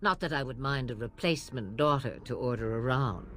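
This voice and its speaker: A woman's voice: very deep voice, lively intonation, brisk, a bit bright, a little hiss or hum. An actress playing a sardonic, weary and imperious old witch of the wilds in a fantasy game, with an aged, theatrical delivery. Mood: wry. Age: older. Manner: theatrical, wry, dismissive. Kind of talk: fantasy video game voice acting.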